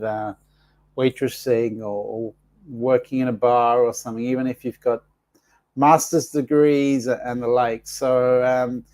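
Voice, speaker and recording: A middle-aged man from South Africa chats casually on a livestream, reading out viewer comments. He is congested with allergies and rambles, restarting his sentences and filling pauses. His pitch sits in an ordinary middle range for a man. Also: a little mellow. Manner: rambling, with frequent restarts and fillers. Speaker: livestream host and healer.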